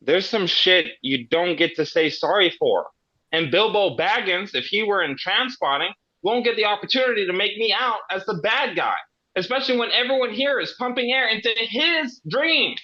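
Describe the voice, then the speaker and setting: A hot-tempered restaurant manager, read by a man who swears and picks fights. His voice is high, lively and bright. It comes through a dull, lo-fi microphone. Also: normal speed, no echo, full character voice. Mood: combative. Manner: blunt, swearing, combative.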